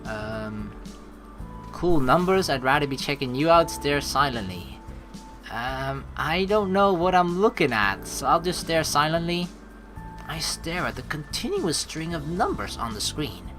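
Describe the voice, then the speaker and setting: A low female voice with lively, swinging intonation plays through a game. She reads the text aloud and adds playful, joking asides in a casual way, over clearly audible game music. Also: unhurried. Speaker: let's play host.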